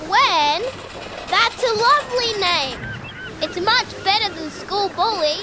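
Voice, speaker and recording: A woman's voice: very high voice, lively intonation, takes it slowly, very noisy, exaggerated voice. A woman playing a plucky, earnest little girl in an adventure radio play, wide-eyed and exclamatory. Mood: curious, gentle.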